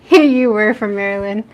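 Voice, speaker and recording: A woman from the East Coast of the United States with an American accent, chatting casually with viewers while she draws late at night at home and often laughing between remarks. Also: a little high. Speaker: art streamer. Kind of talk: casual chat while drawing, answering live chat.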